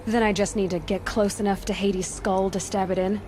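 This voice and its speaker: A woman performs a bold young huntress in a video game cutscene. She speaks at a middling pitch with a pointed, sardonic edge, doubting and challenging the listener. Clear ambient noise sits behind her. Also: little pitch movement, a little fast, natural voice. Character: young female hunter, skeptical, bold, morally outraged.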